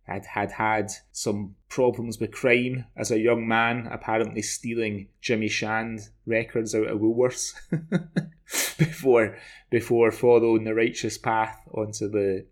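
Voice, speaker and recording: A man in his forties with a Glaswegian Scottish accent, chatting casually as a podcast co-host in a rambling, halting way. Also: on the low side, fairly even intonation. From Glasgow, Scotland. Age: 40s. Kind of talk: casual discussion of television.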